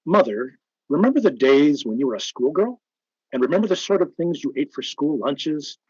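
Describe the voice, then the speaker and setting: Husky, lively male radio announcer. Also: a little high, moderate pace, dry recording. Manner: emphatic, polished, persuasive. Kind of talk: old-time radio announcing and advertising. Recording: dull, band-limited old-time radio sound.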